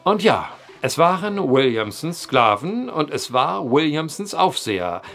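An actor playing a wry male journalist who tells an eerie old tale with deliberate phrasing in an audio drama. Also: fairly high pitch, big pitch swings, a bit bright, faint music behind, heavily acted. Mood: measured storytelling. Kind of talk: German audio drama acting, storytelling.

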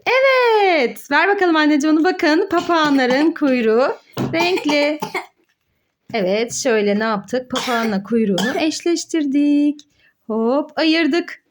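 A woman's voice: sits high, lively intonation, unhurried, noisy background. A woman talking as a mother hosting a children's play video, encouraging and full of questions and exclamations. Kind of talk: playful educational chat with child and viewers.

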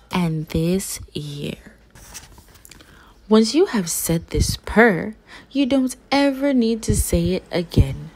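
A woman's voice: mid-range voice, gravelly, darkish tone, slightly rough. A woman reading a children's religious picture book aloud, phrasing simply and carefully for young listeners. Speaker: read-aloud storyteller for children.

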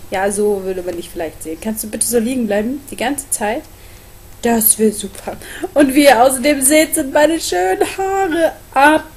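Young woman vlogger with a higher voice. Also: darkish tone. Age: young adult. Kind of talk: casual chat to camera. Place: small room at home, on a sofa.

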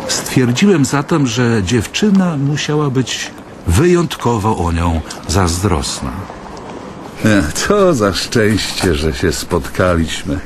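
An actor playing an awkward, self-absorbed writer who musingly and haltingly tells of a postwar reunion in a radio drama. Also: male voice, medium pitch. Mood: eager, nervous. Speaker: narrator and lead character. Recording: clear background ambience noise.

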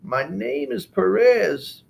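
A man voicing a gentle, shy little male mouse with a soft, sweet voice. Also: sits high, big pitch swings, takes it slowly, vocal fry, a little hiss or hum, voice pushed up, full character voice.

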